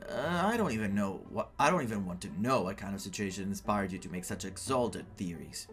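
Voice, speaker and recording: A man doing playthrough commentary as a game streamer, reading on-screen text aloud and reacting in an animated way. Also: on the low side, a little fast. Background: game music.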